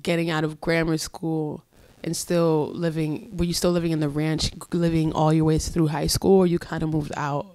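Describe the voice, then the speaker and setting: A woman's voice: low voice, flat delivery, normal speed, few pauses, a little mellow, no echo. A woman hosting a podcast, curiously asking a guest follow-up questions on a studio mic. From Puerto Rico and the US. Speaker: podcast host. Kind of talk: interviewing a guest. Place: small podcast studio indoors. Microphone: studio mic on a boom arm.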